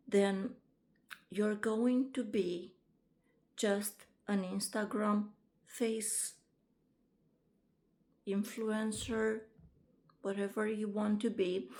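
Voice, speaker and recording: A woman, introducing herself to camera in a casual vlog, halting often with ums and restarts. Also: medium pitch, creaky, a little mellow. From Romania. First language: Romanian. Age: 50s. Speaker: vlogger and author.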